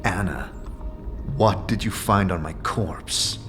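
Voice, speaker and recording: A man playing a calm, terse wanderer who questions directly and evenly in an audio drama. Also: low-pitched, slow, music in the background. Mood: probing. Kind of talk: audio drama character acting.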